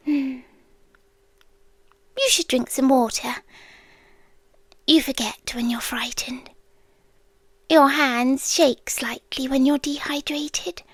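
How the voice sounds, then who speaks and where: British woman doing an ancient doomsday girlfriend thing, calm n clingy, high soft half whispered asmr voice, super slow. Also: breathy edge, no room sound, full character voice. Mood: fond, musing. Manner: slow, hushed, deliberate delivery. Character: ancient female apocalyptic being, calm possessive doting girlfriend.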